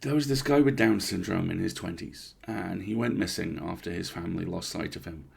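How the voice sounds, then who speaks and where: A man narrating a horror story in the first person, conversational and deliberate, with dramatic pauses. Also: low-pitched, gravelly, darkish tone, breathy edge.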